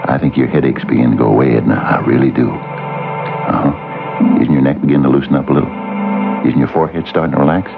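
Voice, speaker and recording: A man's voice: a bass voice, steady tone, quick pace, pauses often. An actor in an old-time radio drama playing a genial married man, coaxing and soothing in a folksy, hesitant way. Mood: soothing, hypnotic. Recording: muffled old radio broadcast, music behind.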